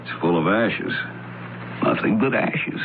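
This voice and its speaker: A man's voice: deep voice, big pitch swings, takes it slowly, vocal fry, heavily acted. An actor playing a plain-spoken, helpful male companion in an old-time radio drama. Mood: steady. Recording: tinny, noisy radio drama recording.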